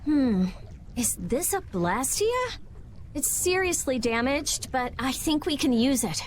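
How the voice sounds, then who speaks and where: A woman's voice: sits high, very animated, slow pace, a little hiss or hum, exaggerated voice. An American actress playing a brusque, brainy teenage girl mage in an anime game dub, curt and matter-of-fact.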